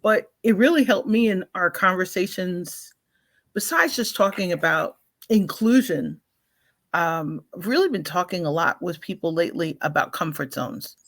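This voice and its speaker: A woman's voice: lowish voice, animated, moderate pace, fairly bright tone. A woman hosting a live online talk show, greeting viewers and introducing her guest with effusive enthusiasm. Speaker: host.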